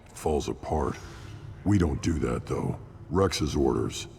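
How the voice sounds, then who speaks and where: A man playing a gruff, battle-hardened alien scout commander in a video game, speaking bluntly in a gravelly voice. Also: a bass voice, expressive, slow pace, warm tone, exaggerated voice. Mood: gruff, matter-of-fact. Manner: blunt, matter-of-fact. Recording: long reverb, clear background noise.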